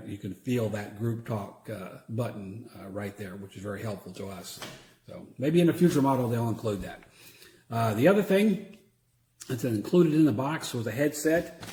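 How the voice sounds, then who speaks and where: A man giving a casual, unscripted product demonstration in a halting, plain-spoken way. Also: medium pitch, a little mellow, slight background noise. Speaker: product reviewer; church security team member. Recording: roomy echo.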